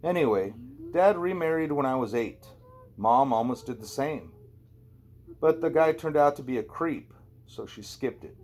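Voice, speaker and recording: A man's voice: medium pitch, lively intonation, moderate pace, darkish tone, a little hiss or hum. Man reading a novel aloud, conversationally. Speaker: amateur audiobook reader. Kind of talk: scripted narration of a first-person novel, read aloud.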